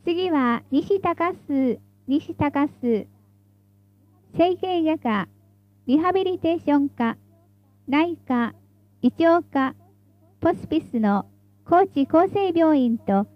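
A woman's voice: sits high, animated, slow, dry recording, muffled recording. A woman reading scripted tram announcements in a formal, polite, carefully enunciated way, as the stop announcer.

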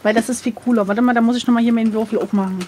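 Hohe Frauenstimme, locker und verspielt. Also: female voice, a little high, fairly even intonation, racing, a little mellow, slight background noise. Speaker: co-host, player of an adventure gamebook. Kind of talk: casual playful chat.

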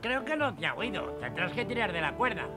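A careful old gnome sidekick from a cartoon. He has a high, very animated voice with a fussy, anxious air. Music runs behind it. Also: brisk. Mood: cautious. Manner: fussy, cautioning. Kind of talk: cartoon dub acting.

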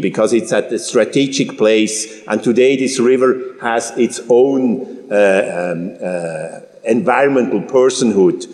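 A man in his fifties from Switzerland giving a public talk, halting with frequent restarts and fillers. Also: medium pitch, a little mellow. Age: 50s. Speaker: guest speaker. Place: large church hall. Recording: roomy hall with long reverb.

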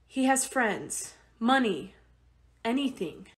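A woman's voice: on the high side, expressive, slow pace. A woman acting a determined, anxious young refugee mother in a radio play, speaking earnestly. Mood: anxious, pleading.

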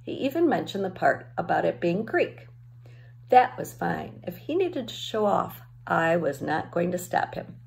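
A middle-aged woman reads a children's story aloud in a slightly low voice. Her pitch moves a great deal as she tells it, and she acts out the dialogue. It sounds like a teacher reading at home into a device at arm's length. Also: very animated, unhurried, creaky, a little mellow. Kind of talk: expressive read-aloud of a children's novel. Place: small home room with bookshelves.

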